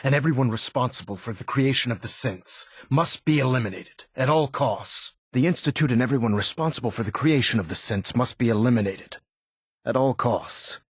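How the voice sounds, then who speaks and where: A man playing a stern, zealous military leader in a video game, speaking in a formal, authoritative, measured way. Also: mid-range voice, slightly rough, no echo, studio quality, natural voice. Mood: resolute, zealous. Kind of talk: video game dialogue acting.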